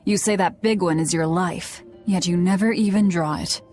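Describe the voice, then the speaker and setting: A woman's voice: fairly low pitch, moderate pace, a little mellow, faint music behind, natural voice. An actress playing a guarded young woman demon who devours her enemies, speaking in a curt, cold manner in a video game cutscene.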